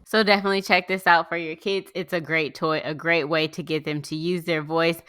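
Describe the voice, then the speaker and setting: A woman's voice: sits low, steady tone, fairly quick, fairly bright tone, clear, resonant tone, dry recording, clean studio sound. A woman giving an upbeat, encouraging product review in a casual, conversational way.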